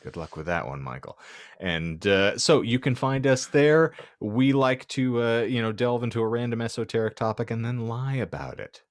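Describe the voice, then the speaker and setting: A man's voice: mid-range voice, moderate pace, dry recording, studio quality. A man hosting a podcast in casual banter, joking with mock-grand announcer phrasing. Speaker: podcast host.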